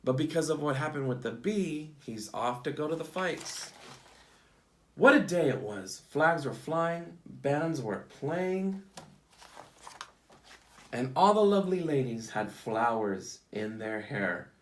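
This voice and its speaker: A man with a slightly high voice reads a children's storybook aloud in a roomy, echoing space. He phrases things simply, like a teacher guiding young listeners through the pictures. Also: lively intonation, slow, slight background noise. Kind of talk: picture-book read-aloud with questions to children. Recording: echoey, roomy sound.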